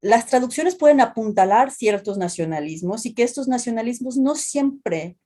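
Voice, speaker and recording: Mexican lady prof chatting about translation, mellow, keeps restarting and tagging "no?". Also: fairly high pitch, lively intonation, moderate pace. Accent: Mexican Spanish. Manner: thoughtful, many restarts and tag questions. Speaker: translation professor, guest. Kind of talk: academic interview talk.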